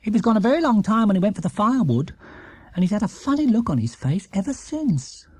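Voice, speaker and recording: A man's voice: high voice, big pitch swings, fast, a little mellow, breathy edge, no echo, pitched above the performer's own voice, full character voice. A man with an English accent voicing a shrewd, dry housekeeper woman in a children's storybook, knowing and emphatic. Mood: suspicious.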